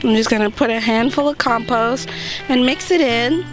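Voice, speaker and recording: A woman with a slightly raised, hoarse voice talks a gardening vlog through step by step, upbeat and chatty. She is recorded outdoors, with clear music playing under her voice. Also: on the high side, normal speed, poor audio. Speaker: gardening channel host. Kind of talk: casual how-to chat to camera. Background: background music.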